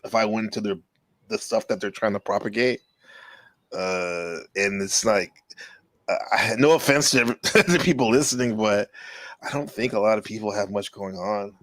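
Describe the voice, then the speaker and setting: A man's voice: lowish voice, normal speed, a bit bright, dry recording, rough recording. A man chatting casually on a live stream, laid-back and rambling, often saying bro and man. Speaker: streamer, teacher. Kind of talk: casual chat.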